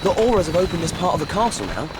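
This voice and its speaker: A man's voice: on the high side, big pitch swings, rapid-fire. A man playing an earnest, polite teenage wizard in a video game, with a plain manner. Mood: awkward, polite. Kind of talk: video game cutscene acting. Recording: loud music behind.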